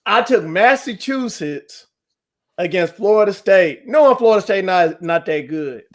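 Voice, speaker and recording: A man co-hosting a casual podcast, telling animated stories and trash-talking his friends in slangy banter. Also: sits high, unhurried. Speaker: podcast co-host.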